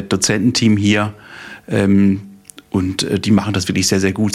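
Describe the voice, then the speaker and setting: A man with a lowish voice gives spontaneous feedback as a course participant. He is enthusiastic but fills his speech with lots of hesitations. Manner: enthusiastic, many hesitation fillers.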